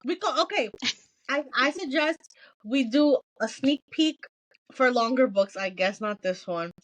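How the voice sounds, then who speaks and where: A woman's voice: sits high, expressive, unhurried, rough recording. A woman chatting casually as a podcast co-host, blunt and insistent in a slangy way. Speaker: book club podcast co-host. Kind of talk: casual chat.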